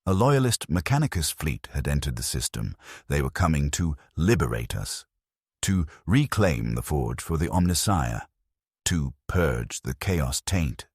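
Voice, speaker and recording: Very deep, husky male story narrator. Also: sing-song, a little slow, darkish tone, dry recording, clean studio sound. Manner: somber, resigned. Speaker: narrator of a first-person science fiction story. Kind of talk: scripted dramatic narration.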